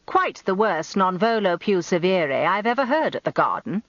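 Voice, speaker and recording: An actress playing a genteel, self-important middle-aged English wife, narrating a radio drama in an arch, confiding, expressive way. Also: female voice, medium pitch, moderate pace, no room sound, highs cut off, natural voice.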